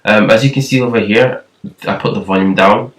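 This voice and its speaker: A man with a thin, somewhat low voice talks through a casual tutorial, rambling and restarting as he goes. He sits well back from the mic in an echoey room. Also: fairly even intonation, brisk, recorded from a distance. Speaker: nasheed production tutor. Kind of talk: casual screen-recorded tutorial.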